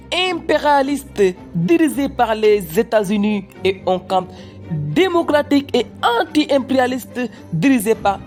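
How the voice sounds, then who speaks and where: Eager cartoon student someone acting it, explaining history kinda haltingly, polite to the prof, bit of music behind. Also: medium pitch, a little mellow. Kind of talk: educational cartoon dialogue, lecture-like recitation.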